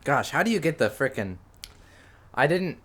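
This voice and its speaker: A man's voice: a little high, moderate pace. A male podcast co-host chatting casually, laughing often and padding talk with fillers. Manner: laughing, animated, filler-heavy. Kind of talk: casual chat.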